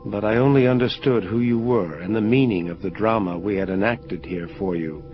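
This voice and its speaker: A man playing a solemn, troubled angel of vengeance in an audio drama, speaking in formal, measured phrases. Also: lowish voice, natural voice. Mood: awed, hesitant. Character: grave, troubled male angel of vengeance confronting his creator. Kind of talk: dramatic audio play dialogue. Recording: dull lo-fi audio under music. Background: prominent atmospheric music.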